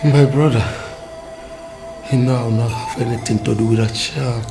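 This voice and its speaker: A man's voice: medium pitch, steady tone, moderate pace, over music, natural voice. An actor playing a weary father who speaks solemnly of his coming death. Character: older dying father, solemn and resigned.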